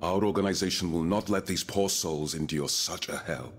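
Man as deep-voiced, dignified colonial gentleman. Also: moderate pace, slight background noise, close to an everyday voice. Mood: grave. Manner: formal, eloquent, grave. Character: dignified wealthy colonial planter and earnest reformer, proud. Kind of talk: game cutscene voice acting, period drama.

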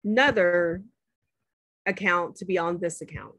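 A woman's voice: lowish voice, a little slow, fairly bright tone, dry recording. A woman professor walking students through a spreadsheet on a shared screen, chatty and checking in with them often. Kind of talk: screen-share class demo.

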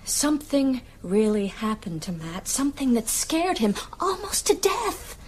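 A woman's voice: sits high, big pitch swings, measured pace, a little hiss or hum. An actress in a radio drama playing a sceptical, shaken young woman who speaks hesitantly to the man she loves. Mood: shaken, disbelieving. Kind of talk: naturalistic radio drama dialogue.

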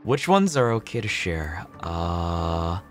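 A man's voice: low-pitched, big pitch swings, takes it slowly, soft background music. A young man chatting casually on a gameplay livestream, slangy, sweary and laid-back. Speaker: streamer.

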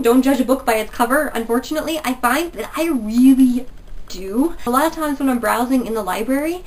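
A woman's voice: high-pitched, far from the mic. Stuffy-nosed young woman reviewing a book. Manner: chatty and enthusiastic, gesturing with her hands. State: stuffy nose from a cold. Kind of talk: casual video book review. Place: small bedroom with posters, indoors.

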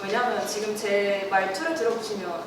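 A woman's voice: medium pitch, steady tone, a little fast. A young woman with a Busan accent, answering questions in a playful, laughing manner as a student ambassador. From Busan, South Korea. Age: young adult. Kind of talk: casual panel Q&A. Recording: echoey room, distant mic, noisy.

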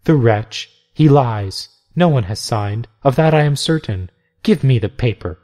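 A man's voice: mid-range voice, animated, measured pace, warm tone, lightly acted. A man reading aloud, voicing a defiant elderly abbess who speaks with indignant scorn.

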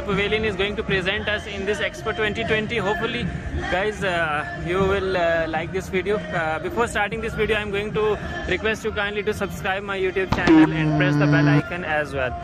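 A man filming a walk-through vlog of an exhibition pavilion, speaking haltingly with many fillers. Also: high-pitched, fairly even intonation, loud music behind the voice, poor audio. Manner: halting, many uh fillers, non-native phrasing. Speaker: vlogger.

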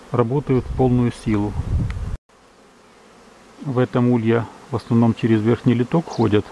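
Male beekeeper from Ukraine, mellow gravelly voice, matter-of-fact outdoor narration over faint bee buzz. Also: mid-range voice, a little hiss or hum, rough recording. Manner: measured, matter-of-fact, reads out times and temperatures. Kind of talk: calm narration of apiary footage. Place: outdoors at an apiary beside hives. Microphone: camera's built-in mic. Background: buzzing bees.

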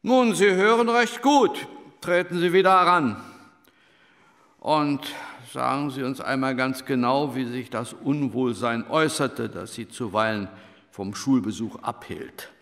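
An actor playing a stern, suspicious military medical examiner in a radio play, commanding and clipped in manner. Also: male voice, medium pitch, measured pace, a bit bright. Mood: probing, suspicious. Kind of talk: German radio play acting. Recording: reverberant hall.

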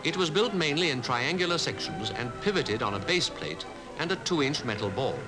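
A man with a British Received Pronunciation accent reading scripted 1950s newsreel narration in a formal, measured way. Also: mid-range voice, sing-song, music in the background, low-quality recording. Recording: 1950s newsreel archive soundtrack.

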